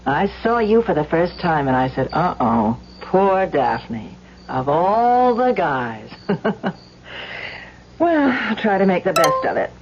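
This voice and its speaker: A dull old radio recording with some noise carries a skeptical young woman. Her delivery is expressive and coaxing, swinging widely in pitch, in classic radio suspense style. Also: takes it slowly. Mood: playful, teasing. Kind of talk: old-time radio drama acting.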